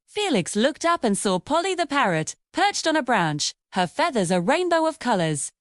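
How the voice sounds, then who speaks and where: A woman's voice: high voice, sing-song, normal speed, no room sound, studio quality. A woman reading a scripted children's story as its narrator.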